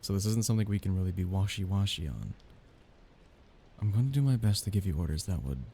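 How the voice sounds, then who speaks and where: A man playing a soft-hearted, nervous young mafia heir in an intimate ASMR romance roleplay, speaking softly, haltingly and apologetically. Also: low-pitched, lively intonation, a little hiss or hum. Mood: earnest, protective.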